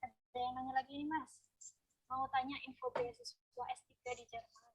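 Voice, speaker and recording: A woman host relays viewer questions to her guest in a brisk, friendly manner. Her voice is high and a little breathy. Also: big pitch swings, muffled recording. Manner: brisk and friendly, addressing the guest as Mas or Kak. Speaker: talk show host reading viewer questions. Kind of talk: reading out questions in a talk show.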